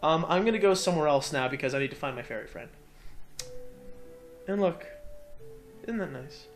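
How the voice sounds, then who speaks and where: Bantering man, higher-pitched, over game music. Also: expressive, normal speed. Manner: bantering, teasing, exclaiming. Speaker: host of a let's play. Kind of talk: casual joking commentary over game cutscenes. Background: video game music.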